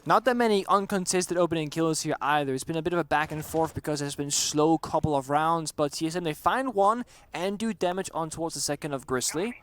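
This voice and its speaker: Man, esports analyst, high voice, explanatory, steady tactical breakdown, headset mic. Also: brisk, few pauses. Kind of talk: live esports color commentary. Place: broadcast desk at a live event.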